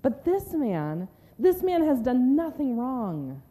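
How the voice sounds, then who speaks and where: A woman's voice: sits high, very animated, slow pace, dark tone, exaggerated voice. A woman playing a repentant condemned criminal, earnest and pleading, in a dramatic scripture reading. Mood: earnest rebuke. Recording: reverberant hall, faint noise.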